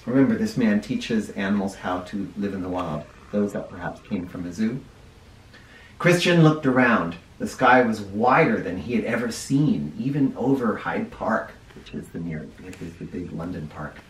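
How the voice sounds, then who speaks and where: A teacher reads a storybook to children with a lively, rising and falling voice. He pauses to explain words along the way. The sound is echoey and picked up from a little distance. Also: fairly low pitch. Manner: expressive, stops to explain words to kids. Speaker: first grade teacher reading aloud. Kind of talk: children's read-aloud with asides. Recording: roomy echo, mic at a distance.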